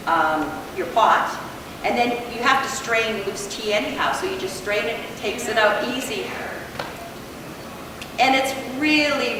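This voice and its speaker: Older woman presenter, loud and chatty, mid pitch, distant mic in a reverberant hall. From Canada. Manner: loud, chatty, many fillers. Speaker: workshop presenter. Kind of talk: casual hands-on talk to an audience. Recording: echoey hall, voice far from mic, background noise.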